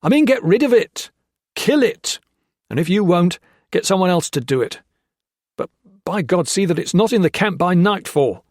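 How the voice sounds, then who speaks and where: A man's voice: fairly high pitch, expressive, fairly quick, darkish tone, no room sound, clean studio sound, pitched above the performer's own voice. A man voicing a tired, sick army officer who gives angry, commanding orders. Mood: stern. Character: exhausted, ill-tempered male officer.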